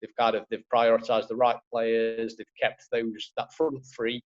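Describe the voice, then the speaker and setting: A man's voice: mid-range voice, completely flat delivery, normal speed, lo-fi sound. A man with a Liverpool accent, a football writer talking football in a casual podcast chat, rambling with many fillers.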